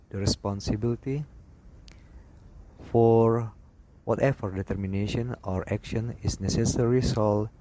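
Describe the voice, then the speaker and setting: A man's voice: lowish voice, rough recording. A man reading out a scripted lesson as an instructor, in broken phrases with stumbles over words. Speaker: instructor reading aviation regulations. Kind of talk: read-aloud scripted lesson narration. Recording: loud background noise with clicks.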